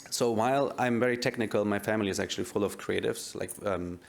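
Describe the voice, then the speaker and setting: A man's voice: fairly low pitch, fast, creaky. A man with a German accent introducing himself as a tech founder on a conference panel, casual and full of fillers. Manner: casual, filler-heavy, uses "like" often.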